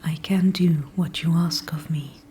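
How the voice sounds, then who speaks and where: An ancient, calm dryad, whispered by a woman in an ASMR roleplay, her voice low, hushed and unhurried. She speaks in a dark, mellow whisper with formal, old-fashioned phrasing. Faint ambience sits behind her. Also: a little hiss or hum. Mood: calm, knowing. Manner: soft unvoiced whisper throughout. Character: ancient female tree spirit, calm and wise.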